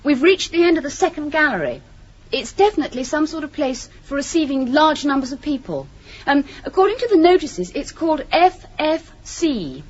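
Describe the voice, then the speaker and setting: An actress playing a plucky, practical young spaceship crew member in a 1980s radio drama. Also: female voice, high-pitched, very animated, moderate pace. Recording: dull old radio recording, faint noise.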